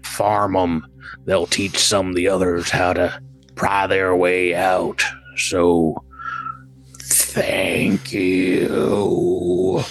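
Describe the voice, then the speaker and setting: A man voicing a gruff, wary old rancher woman with a folksy rural drawl. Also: deep voice, level tone, extremely slow, exaggerated voice. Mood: grateful.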